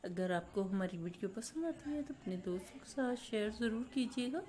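A woman's voice: mid-range voice, lively intonation, a little fast, dark tone. A woman hosting a channel, speaking in a formal, devout voice-over full of blessings. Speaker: channel host. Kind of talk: scripted voice-over showcase.

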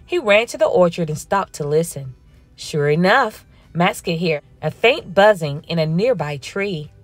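A woman slowly reading a children's picture book aloud in a gentle storytelling manner. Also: on the low side, animated, dry recording, soft background music. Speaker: storybook reader. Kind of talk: children's read-aloud narration.

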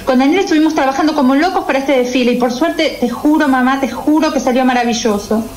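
Woman acting high-voiced gushing Argentine daughter. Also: fast talker, a little mellow, natural voice. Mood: excited. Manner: gushing, emphatic. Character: gushing, excitable young adult daughter in fashion. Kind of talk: radio soap acting. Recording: echoey, slightly noisy radio drama recording.

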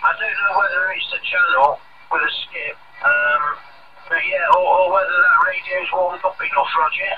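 A man's voice: high-pitched, normal speed, soft background music. A British man chatting casually over a CB radio, signing off his remarks with radio jargon. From United Kingdom. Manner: says "Roger" repeatedly as sign-off. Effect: CB radio transmission. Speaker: CB radio operator. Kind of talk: casual radio contact chat. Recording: noisy, muffled, distant radio transmission.

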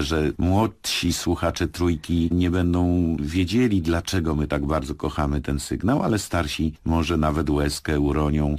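A man talking as a radio drama director in a studio, thoughtful and measured, in short phrases. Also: a bass voice, darkish tone, dry recording. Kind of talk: radio studio talk introducing a series.

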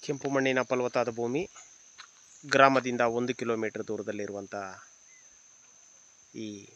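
Guy with doing a property sales pitch, really slow, bright punchy voice. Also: level tone, dull audio. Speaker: property agent presenting a farm for sale. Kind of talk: property video narration, sales presentation.

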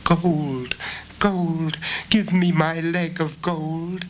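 A man voicing a dead lady's insistent ghost, chanting drawn-out demands from the grave in a storybook reading. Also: a little high, fairly even intonation, muffled recording, pitched above the performer's own voice. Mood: insistent wailing. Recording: 1970s vinyl record with faint noise.

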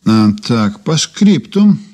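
An actor playing a brisk, wryly intrigued male investigator in a Soviet radio drama, reading deliberately and expressively. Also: medium pitch, big pitch swings, a little mellow.

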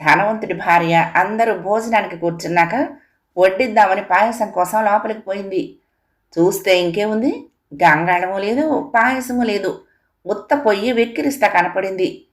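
A woman's voice: medium pitch, moderate pace. A woman, narrating a scripted children's moral story as a storyteller.

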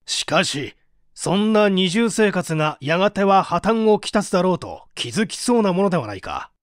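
A man's voice: sits high, sing-song, moderate pace, dry recording, clean studio sound. A man playing a stern, probing prosecutor who questions a suspect formally in a radio-drama reading.

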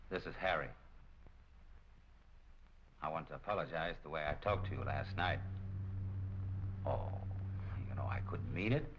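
A middle-aged actor playing a smooth-talking, cynical crime boss in a 1940s film, with a sardonic, casual manner. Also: male voice, a bass voice, a bit bright, exaggerated voice. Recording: muffled, noisy 1940 film soundtrack.

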